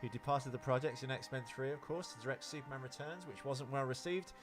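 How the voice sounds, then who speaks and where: A man's voice: mid-range voice, steady tone, a little fast, over music. A man co-hosting a podcast, chatting casually about films in a rambling, jokey way. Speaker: podcast co-host. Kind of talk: casual film chat.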